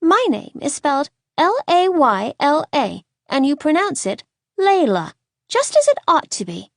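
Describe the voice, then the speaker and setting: A woman acts out a curious little girl from a storybook, pitched high. She is prim, chatty and sure of her opinions, in a dry, close recording. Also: big pitch swings, a little slow, vocal fry, dry recording, heavily acted. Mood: bright, opinionated. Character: bright, inquisitive, forthright young girl.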